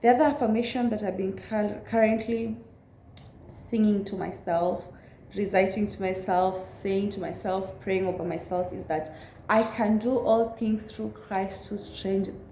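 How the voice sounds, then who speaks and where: Young woman vlogger, raspy from a cold, earnest and unhurried; mellow tone in a roomy space. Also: mid-range voice, steady tone, slight background noise. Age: 20s. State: recovering from a cold. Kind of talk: casual chat to camera.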